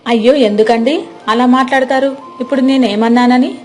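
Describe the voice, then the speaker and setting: A woman's voice: high-pitched, fairly even intonation, moderate pace, a little mellow, faint music behind, close to an everyday voice. A woman voicing a nagging, self-interested middle-aged village wife in a cartoon story, complaining and argumentative. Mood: indignant.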